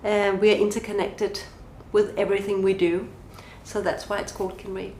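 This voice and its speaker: A woman's voice: medium pitch, flat delivery, a little fast, a little mellow, slight background noise. Danish-accented woman in a roomy space. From Denmark. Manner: thoughtful, lists questions, some restarts. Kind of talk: podcast interview answers. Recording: roomy echo.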